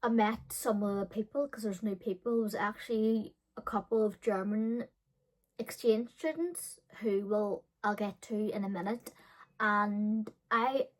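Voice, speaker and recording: A woman's voice: medium pitch, fairly even intonation, a little slow, poor audio. A woman in her early thirties telling a personal story casually to viewers, rambling and often correcting herself with lots of fillers. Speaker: beauty and storytime vlogger.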